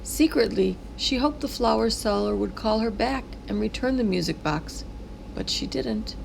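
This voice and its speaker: A woman reading a children's story aloud in an expressive, dramatic way, drawing words out. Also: mid-range voice, vocal fry, background noise. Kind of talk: expressive read-aloud narration.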